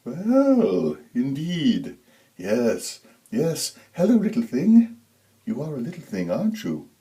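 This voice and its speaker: A man voicing a huge, ancient, eerie Santa Claus in a storybook, with a breathy tenor, halting and creepily doting. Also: mid-range voice, wildly swooping pitch, mellow, voice pushed up. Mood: eerie wonder.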